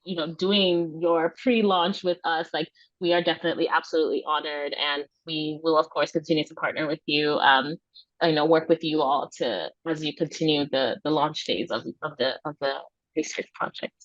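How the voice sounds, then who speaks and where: Low-voiced woman host, dull dry audio. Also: steady tone, moderate pace, no echo. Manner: informal, effusive, frequent "like" and "you know". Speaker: webinar host, moderator. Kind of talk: casual spoken moderation. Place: online webinar.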